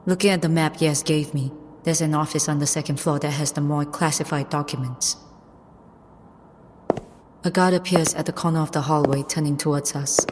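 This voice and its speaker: A woman playing a cool, focused young infiltrator with secret powers, narrating an audio drama in a measured, matter-of-fact way. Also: sits low, steady tone, darkish tone, a little hiss or hum, lightly acted. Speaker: narrator and lead character.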